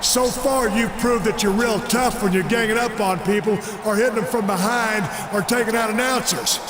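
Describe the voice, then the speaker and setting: A man playing a fired-up challenger wrestler in a video game, delivering a forceful, defiant promo. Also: sits high, moderate pace, natural voice. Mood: defiant, angry. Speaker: pro wrestler. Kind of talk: scripted arena promo. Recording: echoey arena reverb, noisy.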